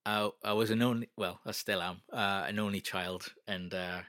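Deep-voiced man, bright and dry. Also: steady tone, normal speed, no echo. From North East England. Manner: self-deprecating, rambling with many fillers. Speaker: guest. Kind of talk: casual interview chat.

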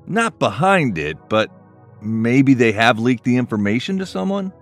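Man playing a very animated, concerned best friend. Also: mid-range voice, a little slow, soft background music. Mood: puzzled. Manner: questioning, animated. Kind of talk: audio drama acting.

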